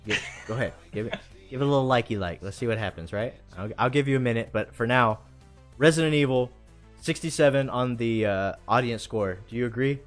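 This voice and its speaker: A man's voice: mid-range voice, a little fast. A man hosting a live stream in casual chat, enthusiastic and slangy, with music behind him.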